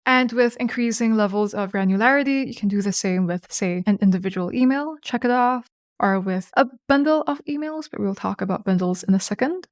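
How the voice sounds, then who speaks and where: A woman hosting a software tutorial, explaining things step by step in a conversational way. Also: on the high side, no room sound, studio quality. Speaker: tutorial host.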